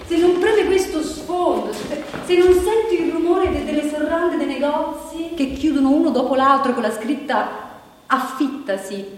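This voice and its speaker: An actress playing a troubled woman brooding on economic despair, in a halting, repetitive, insistent theatrical monologue. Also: high-pitched, lightly acted. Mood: insistent, urgent. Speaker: stage actress. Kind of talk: theatrical monologue broadcast on radio. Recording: very echoey hall, distant mic, faint noise.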